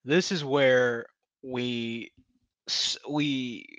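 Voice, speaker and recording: A man's voice: mid-range voice, fairly even intonation, extremely slow, fairly bright tone, no room sound. A man giving a casual, sweary recap rant as a video commentator, often mocking what he retells. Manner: sweary, laughs through his words; mockingly acts out quoted dialogue. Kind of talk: casual ranting TV episode recap.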